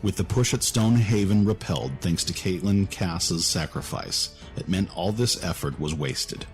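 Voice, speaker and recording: A man's voice: low-pitched, normal speed, faint music behind. A man reading scripted narration for a fantasy story in a storytelling style.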